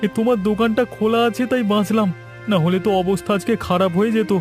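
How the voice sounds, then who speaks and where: A man's voice: high voice, fairly even intonation, brisk, soft background music, natural voice. A man voicing a jumpy, superstitious villager in a cartoon ghost story, chatty and full of alarmed exclamations. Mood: relieved chatter.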